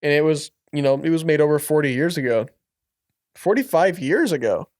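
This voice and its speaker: A man's voice: a little high, lively intonation, fairly quick, a little mellow. A young man co-hosting a podcast, chatting enthusiastically about a movie as it plays. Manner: enthusiastic, easygoing, says "like" a lot. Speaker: podcast co-host. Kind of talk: casual movie commentary chat.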